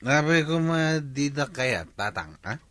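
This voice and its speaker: Man acting a carefree young village bachelor, lively and high-pitched. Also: measured pace, dry recording. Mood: defensive. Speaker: actor. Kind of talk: comedy radio drama.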